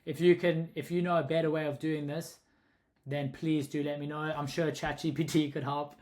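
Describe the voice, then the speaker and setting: South African man, flat rambling tutorial voice. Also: on the high side, normal speed. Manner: rambling, self-correcting, thinks aloud. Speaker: short-term rental host explaining pricing. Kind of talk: casual screen-recorded tutorial.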